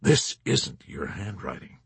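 A reproachful army major, voiced by a man, murmuring slowly and low in a breathy, husky tone. The recording is dry and close. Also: sing-song, dry recording, heavily acted. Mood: mournful. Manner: quiet, slow, heavy lamentation. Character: mournful, reproachful male army major interrogator.

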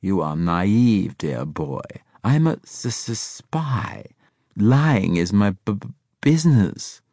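A flamboyant older spy from an audiobook thriller, pitched well above a deep male register. He stammers on his consonants and sounds airy, arch and smugly cheerful, with a treacherous edge. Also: big pitch swings, slow pace, warm tone, dry recording, studio quality, heavily acted. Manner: airy, arch, condescending, effusive.

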